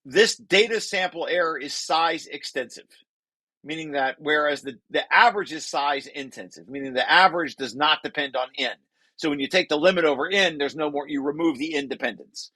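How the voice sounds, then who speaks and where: Man, higher-pitched, bright forceful voice, dry close sound, technical read-through, halting and self-correcting. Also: no echo. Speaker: presenter and paper author. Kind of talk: table read of a technical paper with informal explanation.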